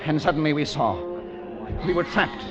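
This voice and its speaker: An actor playing a weary, fearful time traveller, narrating gravely and deliberately in an old-time radio drama. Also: male voice, on the high side, animated, natural voice. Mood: ominous dread. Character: Fowler, weary fearful male time traveller recounting his ordeal. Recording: muffled old radio recording with music behind.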